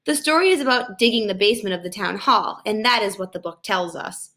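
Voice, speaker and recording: A woman reading a storybook as a gentle, patient kindergarten teacher who enunciates carefully. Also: mid-range voice, animated, a little fast. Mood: patient, explaining. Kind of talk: children's storybook read aloud.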